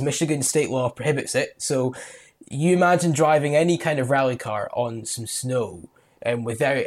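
A man's voice: medium pitch, husky. A young man from Scotland co-hosting a rally podcast, explaining things thoughtfully with light laughs. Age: young adult. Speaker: podcast co-host. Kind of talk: casual podcast chat.

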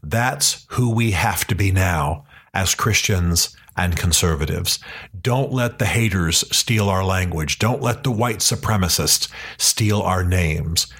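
Podcast host, man, low husky voice, earnest and emphatic, sometimes drawn out for stress. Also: fairly bright tone. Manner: earnest, emphatic, slows down to stress key points. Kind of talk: passionate podcast monologue. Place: his office.

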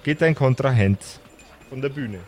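A man narrating an improvised tabletop game as game master, dramatically, with measured and suspenseful pauses. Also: medium pitch, darkish tone, slight background noise.

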